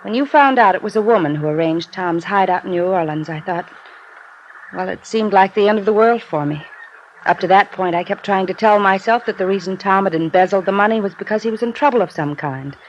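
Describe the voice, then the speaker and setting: An actress playing a hurt but devoted wife in a 1950s radio drama, with an American accent and an earnest, halting delivery. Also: female voice, mid-range voice, muffled recording, lightly acted. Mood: wistful, resigned. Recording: hissy vintage radio recording.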